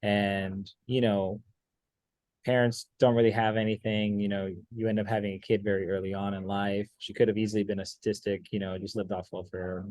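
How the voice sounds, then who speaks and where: A man's voice: lowish voice, brisk, no room sound, rough recording. A young man from Miami, a pool player guest, talking casually and rambling with plenty of fillers. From Miami, Florida. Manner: relaxed, rambling with many fillers. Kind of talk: casual interview chat.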